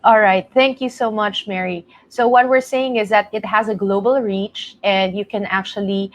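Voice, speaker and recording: A woman's voice: medium pitch, steady tone, normal speed. A woman from the Philippines hosting an online panel talk, chatty and laughing easily. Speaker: host.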